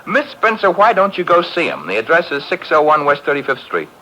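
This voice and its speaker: An actor playing a breezy, sardonic young detective's assistant, trading flippant teasing lines in 1950s radio style. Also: male voice, on the high side, huge pitch swings, brisk, bright, forceful tone, lo-fi sound, exaggerated voice. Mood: brisk, sardonic. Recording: vintage 1950s radio broadcast, faint hiss.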